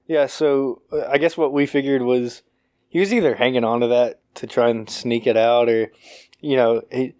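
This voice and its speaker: A young man rambles through a casual podcast chat, restarting his sentences and stacking fillers. His voice sits at an average pitch. The recording is dry, with no room echo. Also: fairly even intonation, moderate pace, dark tone. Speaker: podcast co-host.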